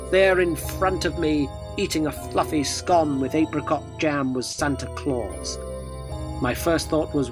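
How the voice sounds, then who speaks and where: A man's voice: on the high side, close to an everyday voice. An actor playing a sceptical, wry interviewer, narrating his surreal expedition in dry, wordy radio drama style. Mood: awed, incredulous.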